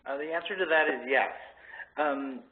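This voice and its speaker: A man from the program staff answering a caller's question, halting with many fillers and repeated words. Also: on the high side. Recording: tinny phone line with faint hiss.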